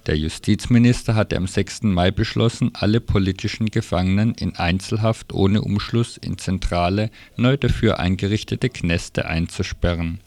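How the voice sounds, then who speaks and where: A man's voice: low voice, normal speed, hardly any pauses, a little mellow, dry recording. A man presenting radio news, reading a scripted report.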